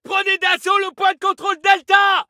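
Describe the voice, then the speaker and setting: A man playing a fierce French army soldier in a war video game, barking short battle lines in a strained full-throated shout. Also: very high-pitched, monotone, moderate pace, dry recording, exaggerated voice. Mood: commanding.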